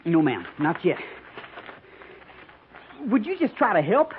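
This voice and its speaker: Anxious young American trooper, tinny radio. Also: male voice, sits high, wildly swooping pitch, slow pace, heavily acted. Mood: pleading, strained. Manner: pleading, deferential, folksy. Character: anxious, dutiful young male cavalry trooper. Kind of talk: 1950s radio western acting. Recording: tinny, noisy 1950s radio recording.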